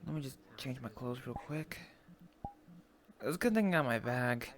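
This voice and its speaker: A man talking haltingly in a casual online role-play, often murmuring along to himself. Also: medium pitch, lively intonation. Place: online VR voice chat.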